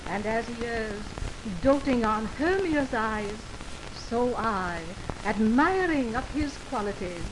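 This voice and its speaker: A woman's voice: fairly high pitch, lively intonation, slow pace. An actress playing a lovelorn, envious young woman, declaiming plaintively in a 1920s radio Shakespeare drama. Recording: noisy 1929 radio aircheck on film.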